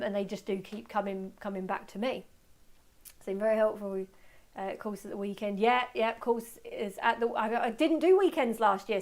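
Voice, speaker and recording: Chatty, excitable woman presenting informally. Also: a little high. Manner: chatty, self-deprecating, excitable. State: voice slightly going from talking. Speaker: photography workshop presenter. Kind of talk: informal live webinar talk with audience questions.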